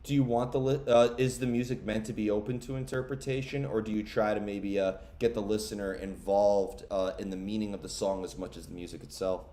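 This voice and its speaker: Low-voiced interviewer in an echoey room. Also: male voice, fairly even intonation, a little fast, hardly any pauses, mellow. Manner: long, rambling questions with fillers. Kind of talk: casual interview.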